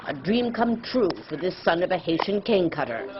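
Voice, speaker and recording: Woman, news correspondent, scripted report, lively pitch, dull lo-fi sound. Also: normal speed, a crowd in the background.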